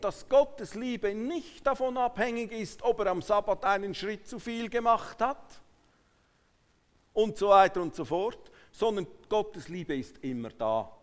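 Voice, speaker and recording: High-voiced Swiss German preacher in a hall. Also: male voice. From Switzerland. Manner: emphatic, swinging between hushed asides and raised declarations. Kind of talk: sermon to a congregation. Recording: long hall reverberation.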